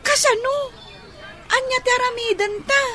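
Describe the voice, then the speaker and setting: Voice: extremely high pitch, normal speed, bright, forceful tone, a faint crowd, a little hiss or hum. A woman playing an eager young daughter with a childlike manner in an audio drama. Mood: curious, uncertain.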